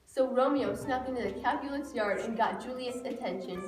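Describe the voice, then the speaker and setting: A woman narrates a puppet show from a script in a fairly high voice. She sounds a bit distant from the mic in an echoey room, with faint music behind. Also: moderate pace, a little mellow.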